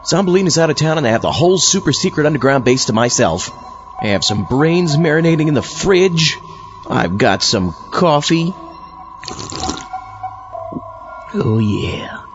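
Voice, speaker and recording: A man's voice: fairly high pitch, big pitch swings, normal speed, muffled recording, faint music behind. A man playing an easygoing zombie astronaut who hosts an old-time radio show, chatty and muttering to himself in a comedic monologue. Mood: gleeful. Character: easygoing male zombie astronaut hosting from his underground lair. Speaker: podcast host.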